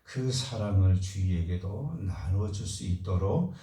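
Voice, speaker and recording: A low, dark-toned man preaching with a slight hoarseness from a cold. He moves between a fervent formal prayer and relaxed, chatty announcements. The sound is roomy and distant, caught from afar in a church hall. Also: measured pace. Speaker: pastor. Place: church sanctuary.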